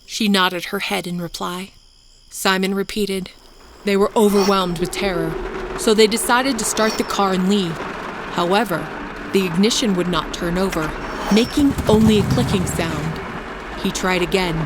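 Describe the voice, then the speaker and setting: Narrator: woman, mid-pitched, reading scripted suspense fiction, clear background noise like vehicle ambience. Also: normal speed. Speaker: storyteller, podcast narrator.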